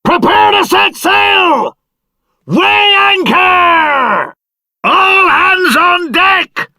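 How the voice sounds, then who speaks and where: An actor playing a commanding ship's captain in a video game, bellowing orders. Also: male voice, lively intonation, very slow, no echo, heavily acted. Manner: booming, shouted orders. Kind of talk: video game character acting.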